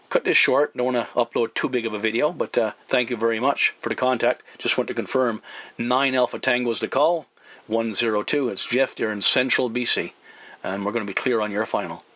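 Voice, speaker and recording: A man's voice: medium pitch, a bit bright, no echo. Man chatting casually on CB radio. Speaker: CB radio operator, YouTube channel host.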